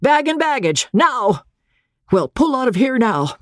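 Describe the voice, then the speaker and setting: A woman voicing an excitable, commanding male riverboat captain in an audiobook, loud and emphatic. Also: on the high side, measured pace, no room sound, clean studio sound, voice pushed up.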